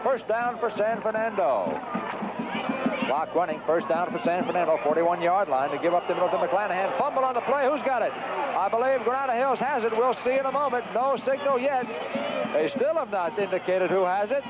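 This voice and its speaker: An American man doing live radio play-by-play of high school football, describing the action vividly in short, clipped phrases. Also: expressive, brisk. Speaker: play-by-play announcer. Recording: dull, noisy 1970s radio broadcast audio.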